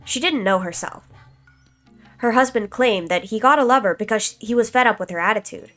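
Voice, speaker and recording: A woman streamer with a stuffy nose and a slight cough, reading a visual novel aloud in a calm, even way, with casual asides to her chat. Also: on the high side, normal speed, soft background music.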